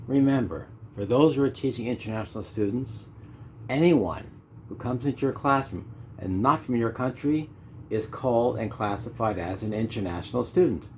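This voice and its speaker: A man's voice: medium pitch, normal speed, a little hiss or hum. A Canadian man in his fifties gives an instructional talk on teaching, stressing and repeating his key points and asking rhetorical questions. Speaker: ESL teacher. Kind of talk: instructional monologue.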